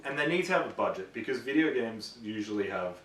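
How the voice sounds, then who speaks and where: Gaming show co-host: male, lively intonation, casual banter, distant mic in a reverberant room. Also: lowish voice, quick pace. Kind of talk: casual banter about games and films.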